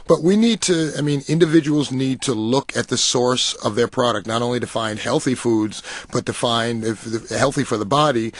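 A middle-aged American man from New York City, giving thoughtful, deliberate interview answers with pauses mid-phrase. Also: medium pitch, dry recording. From New York City, United States. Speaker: interview guest, coffee cooperative marketing director. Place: radio studio.

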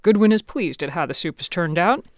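Dry, crisp woman radio reporter. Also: on the low side, lively intonation, brisk, no room sound. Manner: crisp, wry storytelling. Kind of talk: scripted radio feature narration.

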